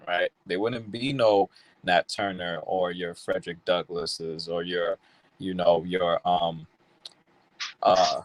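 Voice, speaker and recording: Deep-voiced Haitian-born man, passionate and rambling. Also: no room sound, poor audio. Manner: passionate, many fillers and restarts. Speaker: guest filmmaker. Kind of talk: casual interview over a call.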